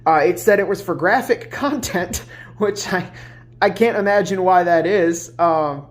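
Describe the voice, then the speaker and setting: A congested woman, a little under the weather, giving a casual collective tarot reading, chatty with many fillers and restarts. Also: fairly low pitch. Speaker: tarot reader.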